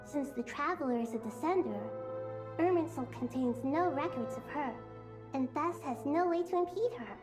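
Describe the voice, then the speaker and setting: Young girl deity, high voice, gentle, wise, articulate, game dialogue, music underneath. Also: expressive. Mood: earnest, polite. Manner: measured, articulate, formally polite. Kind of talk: video game story acting.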